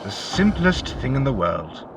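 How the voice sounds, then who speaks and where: A man's voice: medium pitch, big pitch swings, a little slow, background noise. Man acting a sinister, theatrical ghostly gambler. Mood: coaxing, intense. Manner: theatrical, archaic formal phrasing. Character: sinister ghostly gambler, eloquent, obsessed, coaxing stranger. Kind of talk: audio drama acting.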